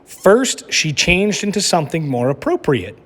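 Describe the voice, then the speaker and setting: A man reading a children's picture book aloud as a teacher, with slow, expressive storytelling. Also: on the high side, long reverb tail.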